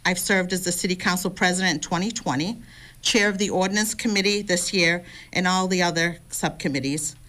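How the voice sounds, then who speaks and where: A composed woman in her fifties whose first language is Arabic reads a prepared statement. Her voice is a little low. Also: a little fast, bright, forceful tone, rough recording. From Lebanon. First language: Lebanese Arabic. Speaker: city councillor and candidate. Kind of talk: prepared debate opening statement.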